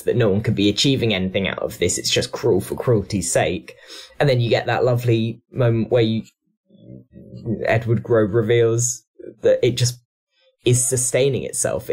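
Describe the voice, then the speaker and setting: A man's voice: on the low side, a little mellow. A man talking reflectively and analytically as a panellist on a discussion podcast. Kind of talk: discussion and review podcast talk.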